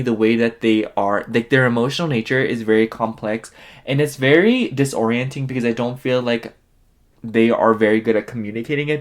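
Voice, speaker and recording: A man giving a casual tarot reading, rambling with lots of fillers, his voice sniffly and nasal. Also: medium pitch. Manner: chatty, rambling, heavy on fillers. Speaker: tarot reader.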